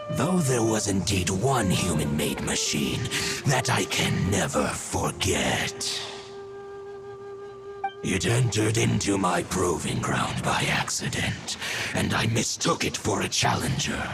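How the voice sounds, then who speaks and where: An actor playing a proud, solemn wolf god spirit in a video game, speaking in a stately, archaic way. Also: male voice, sits low, vocal fry, exaggerated voice. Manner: stately, formal archaic speech.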